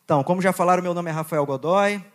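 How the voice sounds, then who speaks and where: Young man, goiás accent, youth preacher, slightly high voice, chatty then hushed prayer. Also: fast talker. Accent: Goiás Brazilian Portuguese. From Goiás, Brazil. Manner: chatty and joking with the audience, quieter and lower when praying. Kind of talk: sermon with Bible reading and prayer.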